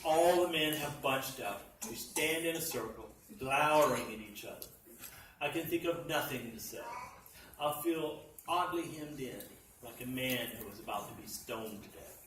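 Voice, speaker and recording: A man narrates a comic short story to a live gathering with deliberate, dramatic emphasis. His voice sits at a middle pitch. The recording is distant and echoey, with clear background noise. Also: fairly bright tone. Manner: theatrical, deliberate emphasis with sudden swells. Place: library function room at a live reading. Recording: echoey room, distant mic, noisy.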